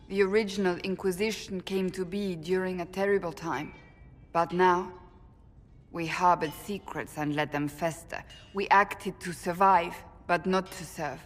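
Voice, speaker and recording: An actress playing a stern, devout woman warrior in a video game cutscene, speaking gravely and formally. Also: on the low side, close to an everyday voice. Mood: troubled, solemn. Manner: grave, formal, deliberate phrasing. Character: stern, devout woman warrior of a holy order, troubled and earnest. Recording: reverberant hall, faint background noise.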